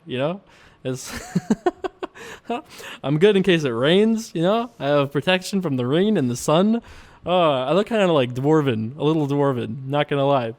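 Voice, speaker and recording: Young male poker vlogger, playful and self-amused, slightly raised pitch, clean smooth tone. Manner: jokey, chuckling, self-amused, drawn-out mock pondering. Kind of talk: casual playful commentary over online poker.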